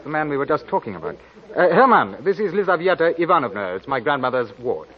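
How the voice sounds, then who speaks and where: An actor playing a teasing young Russian gentleman, arch and wry, in a 1940s radio drama. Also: fairly high pitch, very animated, fast talker. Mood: urbane, wry. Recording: muffled vintage 1940s radio recording.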